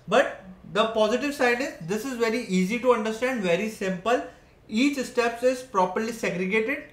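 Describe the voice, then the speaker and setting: A man in his thirties walks through a whiteboard lesson in a high voice. He keeps checking in with his listeners as he goes. The room around him rings with echo. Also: fairly bright tone. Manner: explanatory, frequent "right?" and "okay" checks. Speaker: software engineering teacher. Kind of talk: whiteboard tutorial. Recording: echoey room.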